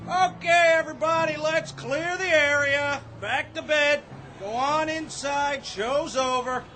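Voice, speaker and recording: An actor playing a blunt, unfazed sheriff in a radio drama, terse and matter-of-fact. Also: male voice, very high-pitched, unhurried, over music. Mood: calling out. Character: blunt, unfazed male sheriff at a death scene.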